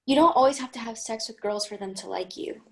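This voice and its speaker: A woman voicing a kind, calm young woman in an amateur table read, speaking gently and earnestly. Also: fairly high pitch, fast, creaky.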